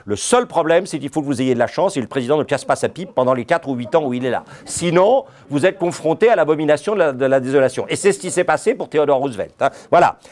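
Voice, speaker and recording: A man's voice: on the high side, expressive, fast, few pauses, a little mellow. Ironic, digressive French-speaking male lecturer. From France. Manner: digressive, ironic, with frequent "hein" asides. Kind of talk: university-style history lecture. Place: lecture hall.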